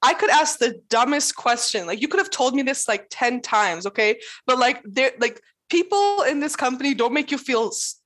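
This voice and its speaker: A woman chatting casually in an interview, talking in quick bursts full of "like" and laughing often. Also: sits high, animated, fairly bright tone. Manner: chatty, fills speech with "like". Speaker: interviewee, new employee. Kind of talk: casual conversation.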